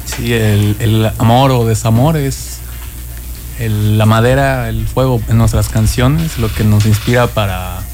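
A man, a band member answering in a measured, reflective way. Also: fairly low pitch, darkish tone, soft background music. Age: 20s. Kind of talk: interview answers.

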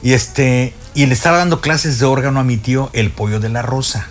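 An older man with a Mexican Spanish accent talks in a radio studio, wandering from story to story and filling pauses. His pitch sits in the middle of the range. Faint music plays behind him. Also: fairly even intonation. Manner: rambling, storytelling, many fillers and restarts. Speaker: radio music guest expert. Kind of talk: casual radio chat.

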